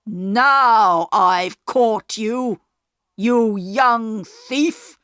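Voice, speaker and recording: A woman voicing a stern middle-aged male station master who speaks slowly and firmly. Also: medium pitch, very animated, a bit bright, no room sound, clean studio sound, exaggerated voice. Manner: slow, firm and scolding.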